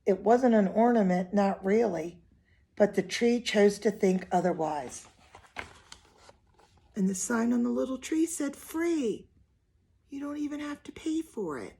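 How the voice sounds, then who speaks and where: A woman with a fairly high, slightly husky voice reads a children's picture book aloud. She is expressive and phrases things simply, as a storyteller speaking directly to young listeners does. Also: unhurried, pauses often.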